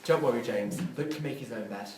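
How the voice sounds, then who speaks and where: A man's voice: mid-range voice, fairly quick, background noise, natural voice. A man playing a prickly, sarcastic, condescending writer brother in an audio drama reading. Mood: dry, irritated. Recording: echoey room, distant microphone.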